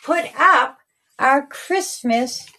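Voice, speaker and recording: A woman narrating a rhyming children's story in a deliberate, singsong storytelling manner. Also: high voice, noisy background. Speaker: author reading own story.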